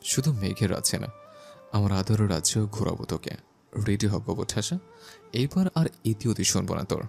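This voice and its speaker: A domineering yet loving young husband, voiced by a man in an audio love story. His low voice is gravelly and lively, full of teasing and possessive swagger. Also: fairly quick, faint music behind. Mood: tender teasing. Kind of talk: audio story character acting.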